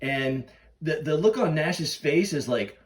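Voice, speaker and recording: Animated, higher-voiced man, slightly off-mic. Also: unhurried, far from the mic, very noisy. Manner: excitable, repeats and rephrases himself, acts out quoted lines. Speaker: podcast host. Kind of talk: excited casual fan chat about films.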